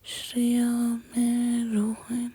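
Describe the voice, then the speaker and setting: A woman whispering an intimate girlfriend roleplay, speaking softly and directly to the listener up close to the mic. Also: a little high, completely flat delivery, very slow, breathy edge.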